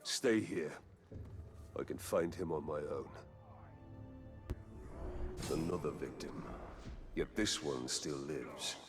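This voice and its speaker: A deep-voiced grim ranger. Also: male voice, takes it slowly, pauses often, music in the background. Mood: grim, resolute. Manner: terse, grave, purposeful. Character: grim, determined male ranger warrior. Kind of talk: solemn video game cutscene acting.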